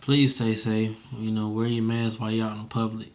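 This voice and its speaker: A man's voice: fairly low pitch, monotone, normal speed, a little hiss or hum. A man talking casually as an interviewer, in an informal, slangy way, repeating short phrases for emphasis. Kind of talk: casual conversational chat.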